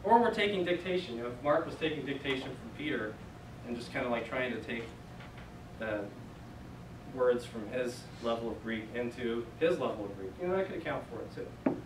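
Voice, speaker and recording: A man's voice: medium pitch, brisk. A young man teaching a Greek class in a casual, conversational way, recorded by a far camera in a church meeting room. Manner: casual, conversational, many fillers. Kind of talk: classroom lecture. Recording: echoey room from far off, noisy.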